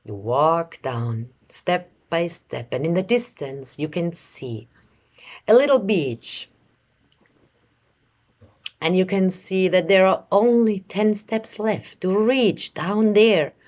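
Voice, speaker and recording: A woman guides a meditation in a low-pitched voice. She speaks very slowly and soothingly, leaving space between short phrases. The recording sounds a little dull and muffled. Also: lively intonation, darkish tone. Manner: soothing, slow, measured, with long pauses between short phrases. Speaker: meditation guide, life coach.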